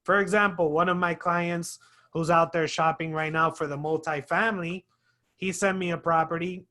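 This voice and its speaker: A man's voice: on the high side, steady tone, normal speed, sharp tone. A man hosting a real estate webinar, talking emphatically and persuasively. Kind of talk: webinar conversation.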